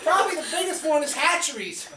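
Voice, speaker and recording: A woman's voice: high-pitched, expressive, fast talker, crowd noise. A woman voicing an irreverent, breezy fish sock puppet in a comic show, with a flippant, folksy manner. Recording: echoey room, distant mic, noisy.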